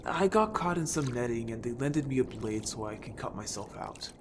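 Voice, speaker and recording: Lively, animated young merfolk scout played by an actor. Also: mid-range voice, moderate pace, background noise, lightly acted. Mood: playful, exasperated. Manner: casual, animated, playfully defensive. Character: upbeat, bold, chatty young merfolk scout. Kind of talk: audio story character acting.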